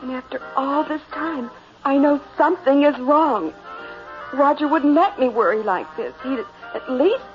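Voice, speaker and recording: A woman's voice: high voice, animated, unhurried, faint music behind. A woman acting an anxious, pleading wife with earnest melodrama in a 1960s radio horror play. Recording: vintage dull radio recording.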